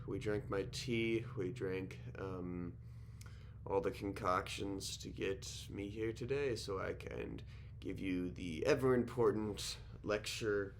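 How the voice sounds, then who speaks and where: A teacher with a sore throat and a slightly nasal voice, giving a recorded lecture in an informal way, with frequent ums and restarts. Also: male voice, fairly low pitch, darkish tone, slight background noise. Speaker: history teacher.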